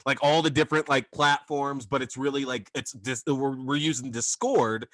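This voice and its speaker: A man's voice: mid-range voice, steady tone, normal speed, a bit bright, dry recording. A man in his thirties hosting a podcast in a loose, casual way, swearing freely and filling his talk with "like" and "man.". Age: 30s. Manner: loose and profane, lots of "like" and "man". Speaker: podcast host. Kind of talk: casual chat.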